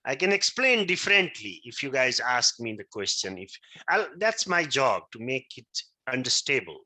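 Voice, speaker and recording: Man, first language Maithili, teaching a class; fairly high, bright tone, keeps asking if he is right. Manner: keeps checking understanding, asks if he is right. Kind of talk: interactive class lecture.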